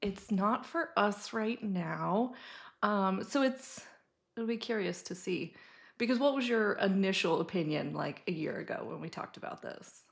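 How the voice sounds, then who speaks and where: A woman's voice: medium pitch, lively intonation, a little slow, gravelly. A woman co-hosting a podcast in a casual, playful, informal chat. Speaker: podcast co-host.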